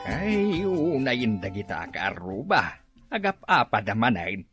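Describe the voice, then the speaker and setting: A man playing a gossipy neighbour with a husky, rough voice in a radio drama. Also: mid-range voice, very animated, unhurried, over music. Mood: alarmed.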